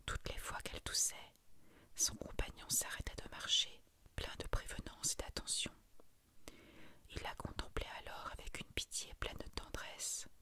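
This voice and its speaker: A narrator whispering a literary short story in a soft, measured, breathy ASMR bedtime reading.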